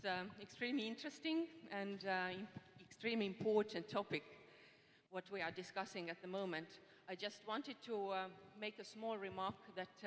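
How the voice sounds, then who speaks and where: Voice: mid-range voice, fairly bright tone. Hesitant audience voice in an echoing hall. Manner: hesitant, many fillers. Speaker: audience member asking a question. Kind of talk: spontaneous remark from the floor. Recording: reverberant conference hall.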